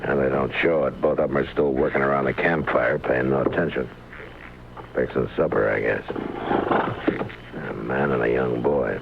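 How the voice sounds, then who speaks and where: A man's voice: rumbling low, steady tone, moderate pace, creaky, long pauses. An American man playing a tough, terse, middle-aged U.S. Marshal in a 1950s radio western, clipped and authoritative. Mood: calm. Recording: tinny, noisy old radio recording.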